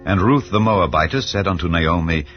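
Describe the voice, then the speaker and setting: A man with a very low voice narrates scripture in a solemn, measured way. The recording is a little dull, as if its top end were muted. Soft music plays quietly behind him. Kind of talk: scripted dramatized Bible reading.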